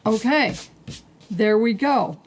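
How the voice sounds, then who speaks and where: A woman teaching a quilting technique step by step, calm and unhurried, clearing her throat as she is losing her voice. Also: on the high side. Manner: calm, unhurried, talks through each step as she works. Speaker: quilting instructor. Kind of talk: step-by-step craft tutorial.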